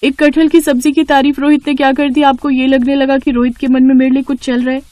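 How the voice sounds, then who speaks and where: A woman's voice: sits high, level tone, fast talker, a little mellow, no room sound, voice pushed up, natural voice. A woman voicing a guarded young daughter-in-law in a family story, with a defensive, questioning manner. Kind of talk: Hindi family storybook dialogue.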